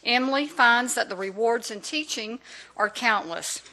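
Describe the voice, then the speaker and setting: A woman's voice: a little high, lively intonation, normal speed, sharp tone, rough recording. A woman reading a prepared formal introduction at a public board meeting into a desk microphone in a large room. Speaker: school official introducing a teacher.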